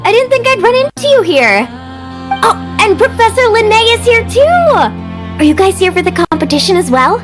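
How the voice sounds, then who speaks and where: A woman's voice: extremely high pitch, sing-song, moderate pace. A woman voicing a friendly, bubbly young adventurous woman in a game, with an upbeat, breezy, casual manner. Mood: excited, friendly. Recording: music behind the voice.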